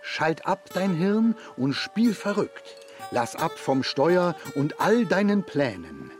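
Timid adult foster father, hesitant, stammering, slow pace, very expressive pitch, children's radio play. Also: soft background music. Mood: nervous, reciting.

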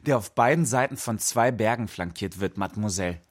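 A man's voice: fairly low pitch, fairly quick, slightly rough, no room sound. An actor playing a frank, loyal, bookish male friend with a dry manner in a radio drama. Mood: warm, playful. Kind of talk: radio drama dialogue and narration.

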